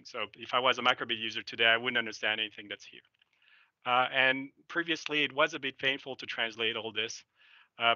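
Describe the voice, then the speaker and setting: A middle-aged Belgian man whose first language is French talks through a live product demo, casual and full of fillers. His voice is very bright and forceful. The headset sound is dry and slightly dull. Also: medium pitch, no room sound. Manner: casual and enthusiastic, thinking aloud with many fillers. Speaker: software developer giving a demo. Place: indoor room, seen on webcam.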